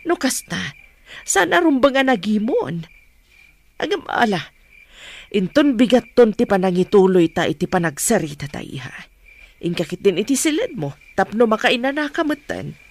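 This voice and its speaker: A woman's voice: mid-range voice, big pitch swings, normal speed. An actress playing a concerned mother questioning her daughter in a radio drama. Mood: gentle. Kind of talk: Ilocano radio drama acting.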